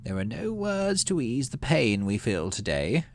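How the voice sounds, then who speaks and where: A man voicing a solemn female colony governor in a game, speaking a funeral eulogy in slow, measured, grave oratory. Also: medium pitch, huge pitch swings, slight background noise, pitched above the performer's own voice. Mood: solemn, grieving.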